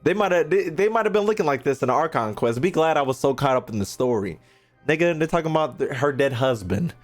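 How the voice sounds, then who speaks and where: Animated, profane male game streamer. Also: a little high, quick pace. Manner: profane, slangy, mock-outraged heckling. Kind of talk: casual gameplay reaction commentary. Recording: faint game music behind the voice.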